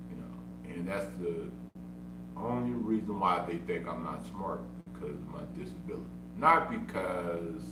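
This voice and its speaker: A man who stutters, streaming a casual monologue and repeating himself insistently. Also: low voice. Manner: repetitive, insistent, self-defending. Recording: echoey room, far from mic, humming noise.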